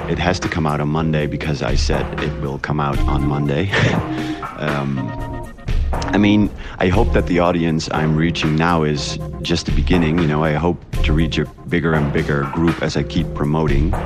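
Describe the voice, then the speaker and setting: A man from the Netherlands, an independent audio creator, talking casually and hesitantly as he thinks aloud in a voice memo. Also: extremely low pitch.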